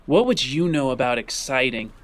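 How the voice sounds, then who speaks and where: A man voicing a sullen, guarded boy in a tabletop game, speaking in terse, flat mutters. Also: medium pitch, moderate pace, noisy background, natural voice. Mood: guarded challenge. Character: sullen, displeased sandy-haired boy, guarded and bored.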